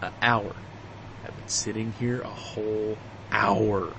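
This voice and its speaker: A man's voice: on the low side, fairly even intonation, slow pace, background noise. A man playing a weary, sarcastic, easily exasperated radio station worker in a comic audio drama.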